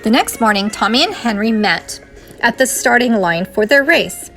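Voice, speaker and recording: A woman's voice: on the high side, lively intonation, moderate pace, fairly bright tone, soft background music. A woman reading a children's storybook aloud in the style of a teacher.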